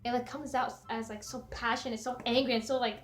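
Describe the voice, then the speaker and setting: A young woman from Canada, a native Somali speaker, chatting casually as a vlog host in an animated, opinionated way. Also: on the high side, fairly quick. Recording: light music bed behind voice. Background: background music.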